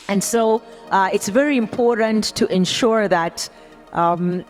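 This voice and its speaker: A woman from Uganda, speaking as a panelist in a thoughtful, unhurried way with many ums and uhs. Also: mid-range voice. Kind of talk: panel discussion answer.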